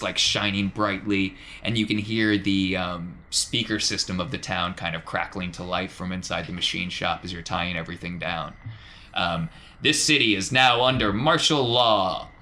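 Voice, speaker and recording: A man with a fairly low voice runs a tabletop roleplaying game. He narrates casually and colloquially, with evident enthusiasm, over faint background noise. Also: pushed and bright. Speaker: game master.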